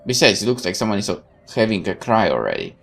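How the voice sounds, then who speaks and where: A woman's voice: rumbling low, huge pitch swings, fast talker, gravelly, fairly bright tone, soft background music. A woman hosting a let's play, reading visual novel narration aloud and adding her own asides.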